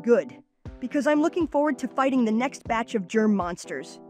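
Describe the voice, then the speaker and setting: A woman plays a determined young boy hero from a cartoon. The voice is high-pitched, eager to lead and kind to friends. Music runs faintly underneath. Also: lively intonation, normal speed, a little mellow, lightly acted. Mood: eager, defiant. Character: Max, plucky, determined, kindhearted boy hero. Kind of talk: cartoon comic dub acting.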